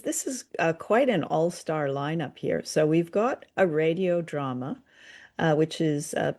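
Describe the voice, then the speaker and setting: Podcast host, mid pitch, chatting casually and keenly about old radio plays. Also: a little mellow. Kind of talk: casual discussion of old-time radio drama.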